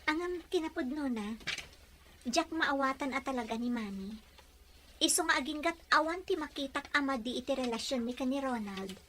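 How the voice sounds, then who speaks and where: Woman acting an earnest young daughter, high bright voice. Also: animated, moderate pace. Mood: puzzled, earnest. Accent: Filipino. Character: earnest young daughter in love, defying her mother. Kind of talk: Ilocano radio drama acting. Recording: faint background noise.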